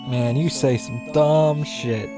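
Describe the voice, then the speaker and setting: Guy reading out a visual novel, mid voice, blunt casual reactions, music clearly behind. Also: mid-range voice, fairly even intonation, slow pace.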